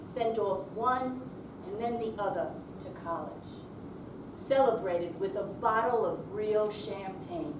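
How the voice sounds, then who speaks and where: A woman performing a readers theater piece, delivering short narrative phrases in a measured way with pauses. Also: mid-range voice. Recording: roomy hall, distant mic, background noise.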